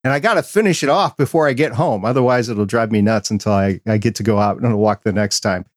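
A man's voice: fairly low pitch, fast, no room sound, studio quality. A middle-aged man hosting a podcast, chatting casually and putting questions to his guest. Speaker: podcast host, interviewer. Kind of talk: casual interview chat.

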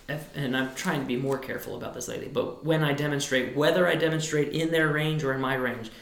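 High-voiced man with a gravelly edge. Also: echoey room. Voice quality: high tenor speaking voice. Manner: thoughtful, rambling with restarts. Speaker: boys choir director. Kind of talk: relaxed interview answers.

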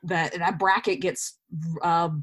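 A woman's voice: lowish voice, steady tone, takes it slowly, a bit bright. A woman actor speaking as an interview guest, explaining her thoughts in a casual, reflective way.

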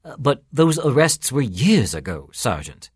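Man playing a genial priest, very animated pitch. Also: unhurried, breathy edge, no room sound, studio quality, heavily acted. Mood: dismayed. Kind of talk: storytelling character voice.

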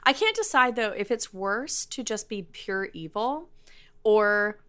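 A woman co-hosting a podcast in casual chat, with an expressive, wry manner. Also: mid-range voice, a little slow, clean tone. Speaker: podcast co-host.

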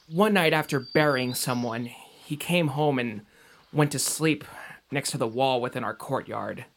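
A man's voice: mid-range voice, measured pace, natural voice. An actor playing a doubtful, earnest young man in an audio drama, speaking in a conversational, questioning way. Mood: somber, explaining.

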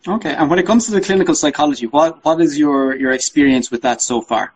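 A man's voice: fairly high pitch, quick pace, a little mellow, husky, poor audio. A man hosting a podcast, asking his guest questions in a casual, polite, conversational way. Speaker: podcast host, interviewer.

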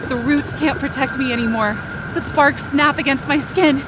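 High-voiced woman narrating intense audio drama. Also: normal speed, very noisy. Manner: intense, theatrical, short clipped sentences. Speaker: writer and performer of fiction podcast. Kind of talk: dramatic first-person scripted narration.

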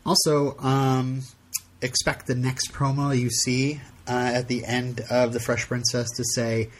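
Rambling, halting man hosting a podcast. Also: mid-range voice, flat delivery. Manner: rambling and halting, many fillers, frequent laughs. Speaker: podcast host. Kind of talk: casual chat.

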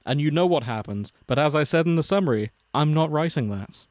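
A man's voice: a little high, expressive, a little fast, no echo, clean studio sound. A man co-hosting a casual podcast, reading out a fanfic and laughing often mid-sentence. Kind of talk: casual chat with dramatic reading.

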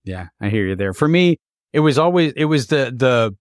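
A man's voice: mid-range voice, lively intonation, normal speed, no echo, clean studio sound. A man with a Canadian accent hosting a theater podcast, moving between scripted introductions and conversational interviewing.

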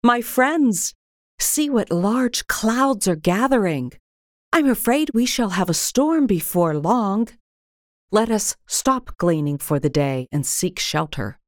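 A woman's voice: medium pitch, expressive, slow pace, dry recording, clean studio sound, pitched above the performer's own voice. Gracious, sweet-voiced castle lady, as a woman reader plays her. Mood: concerned, kind. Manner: welcoming, encouraging, formal storybook speech.

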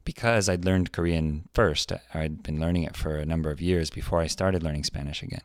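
A man with a very low, deep voice co-hosts a podcast, thinking out loud and often restarting his sentences. The sound is close and dry. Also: a little fast, no room sound. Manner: hesitant, musing, lots of fillers and restarts. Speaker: podcast co-host. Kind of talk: casual podcast chat.

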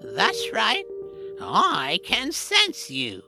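A woman voicing a sly, haughty old crone witch in an audio drama, with a drawn-out, theatrical delivery. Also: medium pitch, huge pitch swings, music in the background, heavily acted. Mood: haughty, knowing. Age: older.

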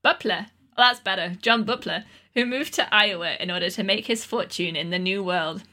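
Bright-voiced woman, chatty comedic storyteller. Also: mid-range voice, moderate pace. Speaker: podcast co-host and storyteller.

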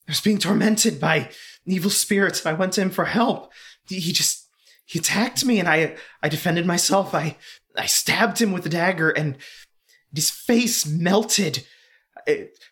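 A man voices a terrified young man just past his teens, stammering through every broken sentence. The voice sits a little high, halting and fearful. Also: fairly bright tone.

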